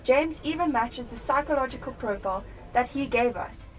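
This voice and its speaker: A young woman playing a sharp, determined police detective in a radio drama. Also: high-pitched, moderate pace, distant mic, close to an everyday voice, low-quality recording. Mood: focused, deducing. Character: sharp, determined female police detective piecing clues together. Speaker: student actor in a school radio play.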